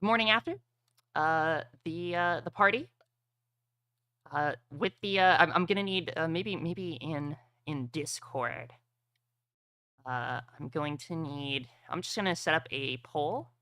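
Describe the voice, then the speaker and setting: A woman's voice: sits low, measured pace, fairly bright tone, dry recording. A woman acting as game master for a streamed tabletop roleplaying game, talking casually with gamer slang.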